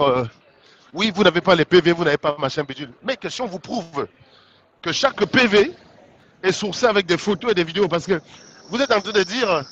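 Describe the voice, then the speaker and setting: A man's voice: on the high side, a bit bright, muffled recording. A man with a head cold, giving an indignant political monologue, full of rhetorical questions and restarts. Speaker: political commentator. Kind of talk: casual monologue to followers.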